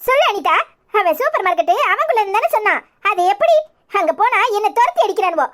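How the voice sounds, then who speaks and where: A woman's voice: very high-pitched, very animated, fast. A woman playing an aggrieved, stubborn older village mother in a melodrama, complaining insistently in a southern Tamil Nadu accent. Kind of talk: melodramatic village serial acting.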